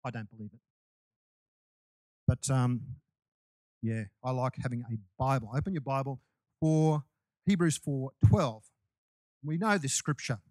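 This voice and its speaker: A man's voice: medium pitch, unhurried, long pauses, a little mellow, dry recording, low-quality recording. A man preaching a sermon to his congregation in a loose, conversational way, with restarts and asides. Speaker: pastor.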